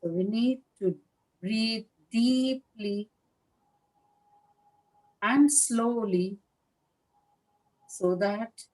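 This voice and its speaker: Slow-paced woman guiding meditation. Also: on the high side, poor audio. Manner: calm, measured, with long pauses between short phrases. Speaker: meditation teacher. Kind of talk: guided meditation instruction, live session.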